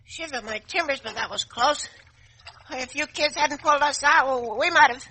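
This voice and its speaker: A woman's voice: sits high, normal speed, bright, forceful tone. A woman playing a cocky, slangy wooden toy soldier in an old-time radio drama. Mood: wary. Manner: brash, slangy, wisecracking.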